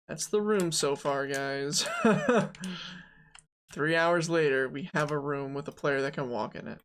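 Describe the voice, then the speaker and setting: A young streamer in their twenties with a gravelly, fry-heavy voice at a middle pitch. They narrate their own work in a loose, joking way, with plenty of ums and asides. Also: a bit bright, slight background noise. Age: 20s. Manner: joking, rambling, self-talk with fillers. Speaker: game developer streaming. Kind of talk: casual live dev stream, thinking aloud.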